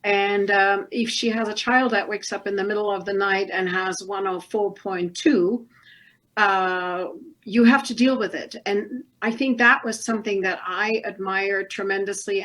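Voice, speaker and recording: A woman's voice: mid-range voice, fairly bright tone, low-quality recording. German-accented woman on a video call. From northern Germany. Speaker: documentary producer, guest. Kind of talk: casual interview over video call.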